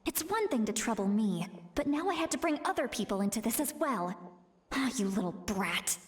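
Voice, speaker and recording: Fed up bossy tribe lady from a video game, scolding, high n really lively. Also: moderate pace. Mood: exasperated. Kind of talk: video game character voice acting.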